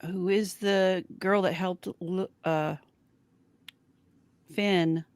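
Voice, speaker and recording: Lower-voiced woman, close dry sound. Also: fairly even intonation, drawn-out words, darkish tone, dry recording. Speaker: podcast co-host. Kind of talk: casual fan discussion.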